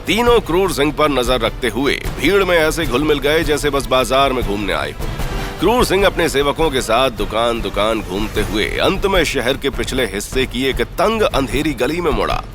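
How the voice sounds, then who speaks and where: Animated male dramatic story narrator. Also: mid-range voice, normal speed, music in the background. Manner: suspenseful, theatrical.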